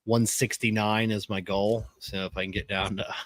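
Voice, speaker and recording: A man's voice: lowish voice, little pitch movement, normal speed, no room sound. A man in his forties co-hosting a podcast, chatting in an easygoing way with stammered restarts and a lot of "you know". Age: 40s. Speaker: podcast co-host. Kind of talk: casual chat.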